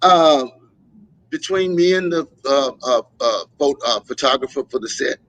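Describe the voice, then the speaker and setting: A man, a musician guest in a casual interview, telling stories and acting out quotes with lively voices. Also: mid-range voice, measured pace, no echo, muffled recording. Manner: animated storyteller, acts out quotes.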